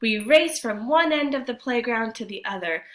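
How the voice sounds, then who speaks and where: A woman teacher reading a storybook aloud to children, expressively, pausing to ask her young listeners guiding questions. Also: on the high side, animated, fairly bright tone.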